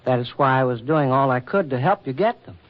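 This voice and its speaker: An actor playing a stiff, earnest government official in love, speaking formally and haltingly in a 1940s radio drama. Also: male voice, medium pitch, animated, lightly acted. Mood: formal, resolute. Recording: dull 1940s radio recording.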